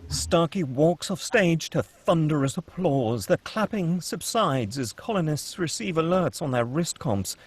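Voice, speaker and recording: Husky higher-voiced guy reading scene directions for a script table read. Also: unhurried, hardly any pauses. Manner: steady, descriptive. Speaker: narrator reading stage directions.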